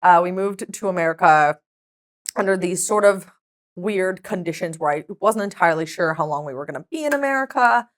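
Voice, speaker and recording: A woman whose first language is Hebrew, a podcast guest telling stories in a lively, chatty way and laughing often. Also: fairly low pitch, a little fast. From Israel. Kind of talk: casual chat.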